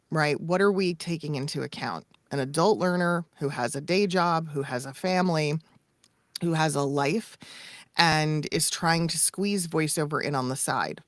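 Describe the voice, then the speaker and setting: A woman coaching in casual talk, emphatic and expressive, acting out imagined quotes. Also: lowish voice, no echo. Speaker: voice-over coach and podcast co-host.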